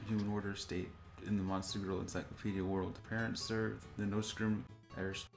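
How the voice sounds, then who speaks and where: A man's voice: on the low side, flat delivery, normal speed, vocal fry. A man reading aloud and bantering casually, goofy and teasing, tripping over names. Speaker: co-host reading wiki entries aloud. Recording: music playing over the voice. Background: video game music.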